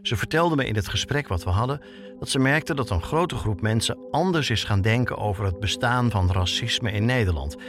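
A man hosting a podcast, narrating from a script in a reflective, personal way. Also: lowish voice. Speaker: podcast host, reporter.

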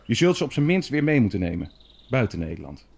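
An actor playing a self-assured, chatty prince in a Dutch historical audio drama, familiar and dismissive in manner. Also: male voice, medium pitch, big pitch swings, fast talker, creaky, darkish tone, breathy edge, heavily acted. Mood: dismissive, confiding.

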